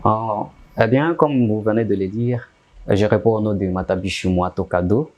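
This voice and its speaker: A man from eastern Congo with a Congolese accent, answering interview questions in a measured way and repeating phrases for emphasis. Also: lowish voice, darkish tone. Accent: Congolese, mixing Swahili and French. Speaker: guest, activist.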